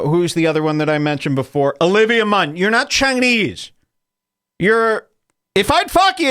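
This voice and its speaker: Rich: A man with a higher-than-usual voice that swings widely in pitch, riffing through a provocative comedic rant as a podcast host. The sound is close and dry, with no room around it. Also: a bit bright, no room sound. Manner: loose, provocative, laughs at own jokes.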